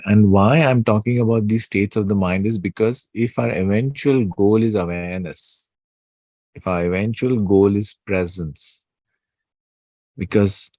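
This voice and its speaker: A man giving an unscripted spiritual talk, slow and soothing, pausing often with fillers and restarts. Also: deep voice, a little mellow, no room sound. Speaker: spiritual teacher.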